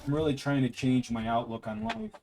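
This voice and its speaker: A man speaks at a middling pitch with a somewhat deep tone. He streams from his desk at home and chats casually into a desk mic. He thinks aloud, drifting from one thought to the next without hurry. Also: big pitch swings, gravelly. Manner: rambling, thinking aloud, unhurried. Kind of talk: casual live-stream chat. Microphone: desk microphone.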